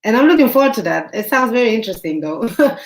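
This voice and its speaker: A woman's voice: a little high, animated, fast. A woman guest on a live stream who chats casually, agrees a lot with "mm-hmm" and restarts her words. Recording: echoey room with faint noise.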